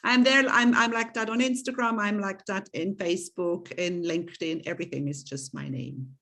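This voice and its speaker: A woman's voice: lowish voice, steady tone, normal speed, a bit bright. Earnest woman from Switzerland. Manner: earnest, repeats key points for emphasis. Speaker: guest, coach and author. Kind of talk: interview conversation.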